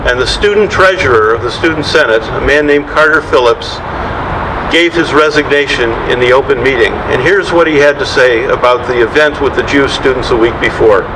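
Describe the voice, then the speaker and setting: A man delivers a public statement at a press event in a mid-pitched voice. He is picked up from a distance, with clear rumbling noise around him. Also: a little fast. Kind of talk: public address, recounting events. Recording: distant mic, loud room rumble.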